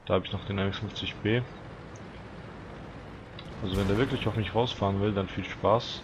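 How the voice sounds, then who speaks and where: Teen gamer with a stuffy nose. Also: male voice, lowish voice, moderate pace, background noise. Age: teens. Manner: chatty, relaxed, talks to viewers. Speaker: gaming channel host. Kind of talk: casual gameplay commentary.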